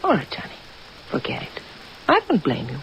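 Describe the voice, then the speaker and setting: A woman's voice: very deep voice, huge pitch swings, moderate pace, creaky, fairly bright tone, exaggerated voice. A woman acting an evasive, alluring suspect in a 1950s radio drama, speaking in a hesitant, guarded way. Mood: guarded, wounded. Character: evasive, alluring woman under suspicion, softly wounded. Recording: dull old radio recording, hiss. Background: rain sounds.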